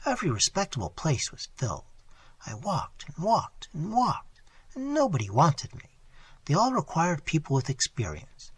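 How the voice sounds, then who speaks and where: Plucky young stenographer, soft and low; a man reads her. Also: wildly swooping pitch, normal speed, slightly rough, no echo, exaggerated voice. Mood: pleading.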